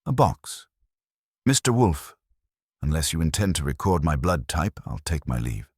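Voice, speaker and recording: A man playing a guarded, coolly evasive corporate consultant in an audiobook, speaking smoothly with careful, jargon-laden diction. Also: a bass voice, sing-song, a little slow, darkish tone, no room sound, clean studio sound, full character voice. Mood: cool defiance.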